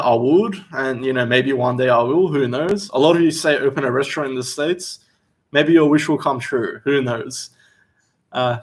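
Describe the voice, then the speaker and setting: A young Australian man, a chef, hosting a casual livestream Q&A while seated, reading out viewer questions and answering in a relaxed, chatty way. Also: medium pitch. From Western Australia. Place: seated indoors.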